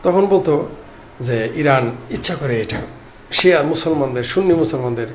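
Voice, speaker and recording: A middle-aged man with a Bangladeshi accent gives informal political commentary to camera, speaking haltingly in short broken phrases. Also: on the high side. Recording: clearly noisy background ambience.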